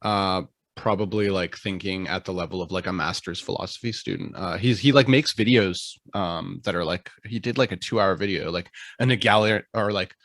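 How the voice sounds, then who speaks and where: A man's voice: low-pitched, moderate pace, fairly bright tone, no room sound, studio quality. Chatty young man saying "like" a lot. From Ottawa, Canada. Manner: chatty, heavy use of "like". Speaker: podcast guest. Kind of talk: casual podcast conversation.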